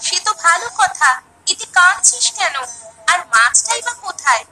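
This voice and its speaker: Lady doing a fretful old village mom in a cartoon, high sharp voice, faint music behind. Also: animated, normal speed, full character voice, low-quality recording. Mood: alarmed, questioning. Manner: exclaiming, questioning, folksy. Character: poor village mother, elderly, fretful and protective. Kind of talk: Bengali animated moral story acting.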